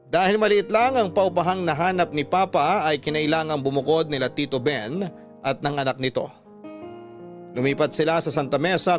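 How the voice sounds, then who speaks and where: A man narrating a listener's letter in a radio drama, reading slowly and with emotion. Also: on the high side. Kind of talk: scripted narration. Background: background music.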